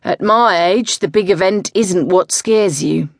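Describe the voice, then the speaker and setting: Brief: sardonic middle-aged coach, a man as voiced by a woman, drawling and needling, low-set voice, dry close sound. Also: measured pace, no room sound.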